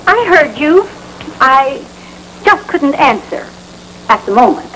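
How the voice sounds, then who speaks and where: An actress playing an easily offended, haughty housewife who complains indignantly in an old training film. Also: female voice, high voice, big pitch swings, slow, fairly bright tone, full character voice. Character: huffy, easily offended housewife, new in town. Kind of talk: vintage training film acting. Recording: noisy old film soundtrack.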